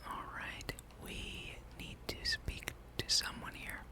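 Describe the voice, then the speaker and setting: Soft breathy whisper, asmr gaming host rambling along, kinda dry and wry. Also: slow. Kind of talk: whispered ASMR gameplay commentary.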